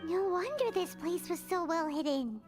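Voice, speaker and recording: Tiny shrill game sprite, bouncy, loud music under it. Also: female voice, moderate pace. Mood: curious. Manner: excitable, squeaky. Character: tiny chirpy floating companion sprite.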